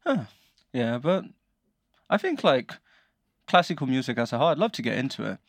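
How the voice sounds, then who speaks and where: A man hosts a casual podcast in a mid-pitched voice. He chats in an easygoing way and often laughs lightly. The sound is dry and close. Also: sing-song, brisk, dry recording. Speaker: podcast host.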